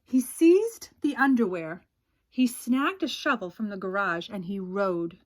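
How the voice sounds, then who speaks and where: A woman reading a children's picture book aloud as a storyteller, in an expressive, dramatic way with drawn-out suspense. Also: mid-range voice.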